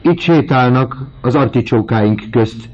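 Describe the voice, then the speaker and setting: A male reader voicing a stout, gossipy female cook who chatters excitedly. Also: mid-range voice, pitched above the performer's own voice, lightly acted. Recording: dull lo-fi audiobook recording.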